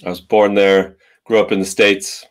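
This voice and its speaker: Man, 30s, from Russia, relaxed, dry humor, lowish voice. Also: normal speed. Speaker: guest singer. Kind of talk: video call conversation. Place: room at home. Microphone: headphones with webcam on a video call.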